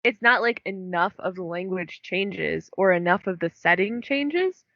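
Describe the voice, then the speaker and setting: A woman's voice: lowish voice, animated, a little slow, a bit bright, dry recording. A young woman co-hosting a book podcast, chatting casually with lots of fillers and laughing at herself. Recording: dull dry lo-fi recording.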